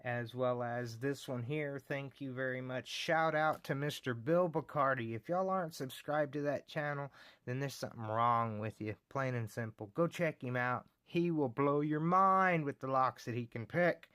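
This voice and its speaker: Chatty Texan man with an excited drawl. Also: mid-range voice, moderate pace, clear, resonant tone, no echo, studio quality. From Texas. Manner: enthusiastic, rambling, says y'all, chuckles often. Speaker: lock collecting channel host. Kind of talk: casual show-and-tell vlog.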